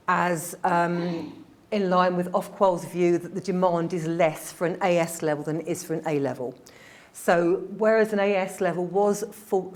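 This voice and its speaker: Woman, admissions head, a bit croaky, quick informal presenter, lower pitch. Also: darkish tone. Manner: quick-talking, informal, with fillers. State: slightly croaky voice. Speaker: head of admissions giving a briefing. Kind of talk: presentation to a group.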